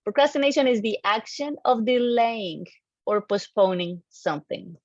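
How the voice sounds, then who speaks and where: A woman with a Colombian accent, a native Spanish speaker, giving an animated, emphatic motivational presentation to an online audience and repeating key phrases. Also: fairly high pitch, moderate pace. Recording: dull, lo-fi online audio, slightly roomy.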